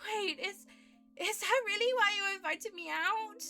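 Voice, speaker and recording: A woman's voice: very high-pitched, unhurried, pushed and bright, faint music behind, pitched above the performer's own voice, exaggerated voice. A woman voicing a sweet, bashful young man in a visual novel, hesitant and flustered, with a teasing streak. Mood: shy surprise. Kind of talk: visual novel read aloud.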